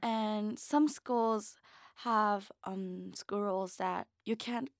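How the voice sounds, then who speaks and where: A young woman born in New York and raised in Japan, co-hosting a podcast in casual chat, talking haltingly with pauses and fillers. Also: medium pitch, steady tone, dry recording, studio quality. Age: young adult. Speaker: podcast co-host, radio station worker.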